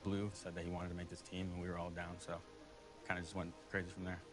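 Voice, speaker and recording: Very deep, fast, flat-voiced man. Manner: laid-back and slangy. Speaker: map-building team member. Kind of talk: interview soundbites. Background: music.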